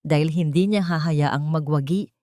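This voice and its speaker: Low-voiced Filipino woman narrating a story. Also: moderate pace, mellow, no echo, studio quality. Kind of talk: dramatic scripted story narration.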